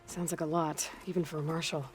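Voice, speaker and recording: A woman's voice: sits low, flat delivery, fast talker, faint music behind, natural voice. A woman playing a determined, matter-of-fact young heroine in a video game cutscene. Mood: curious, matter-of-fact.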